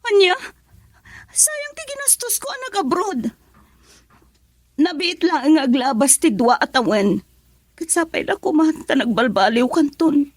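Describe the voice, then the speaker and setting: Hurt young wife in a radio drama, really high voice, begging her husband, dry sound. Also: big pitch swings, unhurried, long pauses, no echo. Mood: hurt, pleading.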